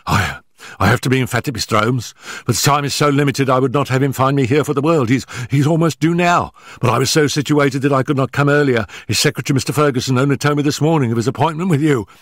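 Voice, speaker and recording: A man reading an audiobook, voicing a nervous male estate manager who stammers and talks in hurried, breathless bursts. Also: mid-range voice, dry recording, clean studio sound, pitched above the performer's own voice, lightly acted. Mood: agitated.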